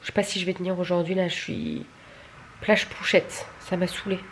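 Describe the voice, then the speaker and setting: A woman's voice: on the low side, little pitch movement, normal speed, a little hiss or hum. A young adult woman chatting casually to camera in a candid, crude, colloquial way, grumbling and poking fun at herself. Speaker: vlogger filming stories. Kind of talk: casual confessional chat to camera.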